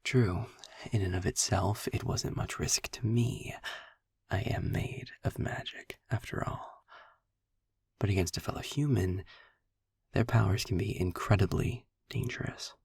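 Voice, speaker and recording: Sarcastic male demon for intimate audio roleplay, played by a man: low, slow, darkly amused, deliberate storyteller. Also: darkish tone, no room sound, heavily acted. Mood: ominous, measured. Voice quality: low, breathy, gravelly near-whisper. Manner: sarcastic, wordy, deliberate storytelling with dramatic pauses. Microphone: close microphone in a dry, quiet space.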